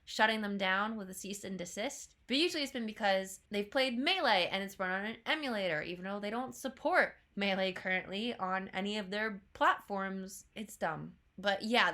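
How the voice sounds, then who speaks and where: A woman's voice: on the high side, bright, forceful tone. A young woman with a stuffy nose from a cold, chatting bluntly as a YouTuber reacting to a trailer. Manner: chatty, blunt, swears casually. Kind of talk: casual chat.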